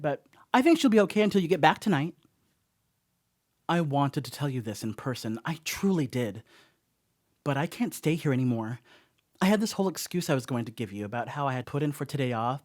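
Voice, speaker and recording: An actor playing a rattled, rambling house sitter in a radio drama monologue, conversational and self-excusing with emphatic swings. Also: male voice, mid-range voice, darkish tone, close to an everyday voice. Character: rattled, rambling young male house sitter writing a confessional note. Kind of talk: dramatic first-person radio play monologue.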